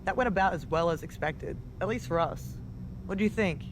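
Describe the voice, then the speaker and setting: A woman's voice: fairly low pitch, a little fast, lightly acted. A woman playing a frank, teasing wrestler and loyal tag team partner in a conversational, wry video game scene. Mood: wry, questioning. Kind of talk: video game cutscene dialogue. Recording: game audio with clear background noise.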